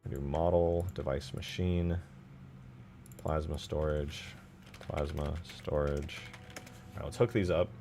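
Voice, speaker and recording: A man's voice: rumbling low, steady tone, takes it slowly, vocal fry, pauses often, warm tone, slight background noise. A man giving casual live commentary as he works on game mods, thinking aloud and asking himself questions in an informal way. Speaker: streamer modding a game.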